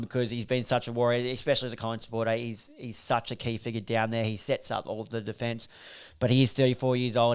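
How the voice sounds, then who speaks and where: A man, slightly croaky from a recovering voice, hosting a casual solo podcast and reeling off football stats with lots of fillers. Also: mid-range voice. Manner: rambling, filler-heavy, reels off stats. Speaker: podcast host reviewing football.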